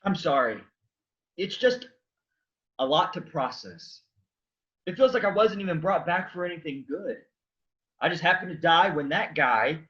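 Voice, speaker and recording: A man acting a sarcastic, reluctant man just raised from the dead, deadpan, in a staged reading of a comic play. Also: fairly high pitch, measured pace, long pauses, highs cut off. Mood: incredulous, confused.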